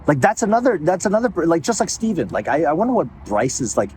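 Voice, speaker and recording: Lively middle-aged man, noisy park. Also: fast. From United States. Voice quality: low-sounding voice. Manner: animated storyteller, laughs often, swears casually. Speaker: voice actor and host. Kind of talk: casual chat. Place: outdoors in a park. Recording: noisy outdoor recording with traffic.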